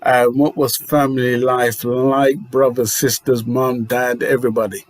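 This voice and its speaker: A man co-hosting an interview, asking brisk, direct questions. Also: medium pitch, flat delivery, dry recording. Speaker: co-host, interviewer.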